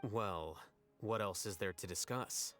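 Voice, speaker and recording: A man playing a calm, unsentimental strategist in a video game, matter-of-fact and deadpan. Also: on the low side, slow. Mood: dryly dismissive. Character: calm, dry, unsentimental young male strategist. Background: game music.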